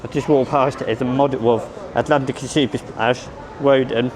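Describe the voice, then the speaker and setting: A man vlogging at a theme park, rambling and unsure of himself, hoarse as he is losing his voice. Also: medium pitch, fairly even intonation, moderate pace, a crowd in the background, low-quality recording. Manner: rambling, self-correcting, unsure. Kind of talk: casual vlog commentary.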